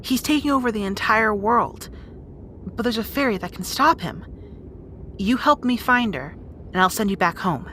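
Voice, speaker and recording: A woman's voice: on the high side, lively intonation, moderate pace, a bit bright, a little hiss or hum. A woman playing a bossy, guarded young rat princess in an audio roleplay drama, with exasperated, wry asides. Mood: bargaining, wry.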